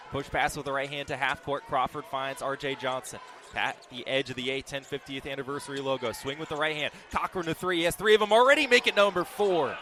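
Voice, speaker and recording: Male radio sports announcer: higher-pitched, forceful voice, clipped live basketball calls, arena noise behind. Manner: clipped descriptive phrases, excited calls on big plays. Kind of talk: live basketball play-by-play commentary.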